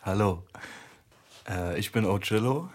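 A man from Germany, a rapper guest on a radio show, answering in short, relaxed replies. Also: fairly low pitch, unhurried. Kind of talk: radio interview.

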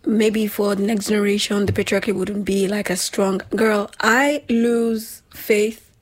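A woman with a slightly husky voice, hosting a casual chat in an informal, emphatic, slangy way. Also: medium pitch, steady tone, unhurried. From Nigeria. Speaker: talk show host.

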